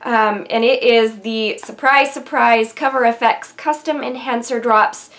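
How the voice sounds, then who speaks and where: A woman's voice: a little high, fairly even intonation, a little slow, fairly bright tone. Chatty woman with a stuffy cold. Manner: chatty and enthusiastic, many ums. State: nasal from a cold. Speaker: beauty vlogger. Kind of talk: casual product review to camera. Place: bedroom with vanity and shelves, indoors.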